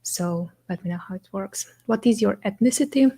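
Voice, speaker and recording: A young woman from Romania, a native Romanian speaker, hosts a live question-and-answer stream, talking in English at a middle pitch for a woman. She explains each point step by step in a relaxed, conversational way. She often pauses on "um" and checks in with "right?". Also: gravelly, breathy edge. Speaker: agency co-founder hosting a live Q&A.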